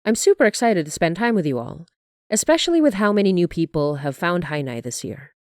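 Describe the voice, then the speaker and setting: A woman's voice: lowish voice, big pitch swings, fairly quick, darkish tone, dry recording, studio quality. A woman hosting a podcast, reading scripted announcements and thanks to listeners in an enthusiastic manner. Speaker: podcast creator and host.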